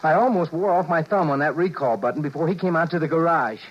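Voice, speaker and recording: A man's voice: a little high, quick pace, noisy background, close to an everyday voice. A man acting a flustered, guilty husband who stammers and narrates his story in a 1950s radio drama. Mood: confiding narration. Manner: stammering, nervous, confiding narration. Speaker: actor playing George, narrator and lead. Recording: dull vintage 1950s radio broadcast.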